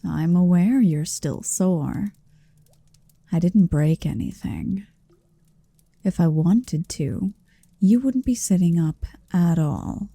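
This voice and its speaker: A very slow, very mellow dragoness acted by a woman murmurs in a breathy, often whispered ASMR roleplay voice with some creak. She is huge, possessive and domineering, teasing with deliberate menace. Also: lowish voice, no echo, exaggerated voice. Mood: menacing, calm. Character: towering possessive female dragon in human form.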